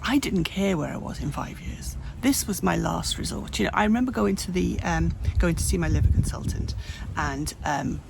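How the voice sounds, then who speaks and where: Woman, 58, candid vlogger, raspy fry in the voice, rambling with restarts, noisy room sound. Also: on the low side, lively intonation, moderate pace, few pauses. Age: 50s. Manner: rambling, frequent restarts and self-corrections. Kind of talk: candid personal vlog. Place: home, hot room.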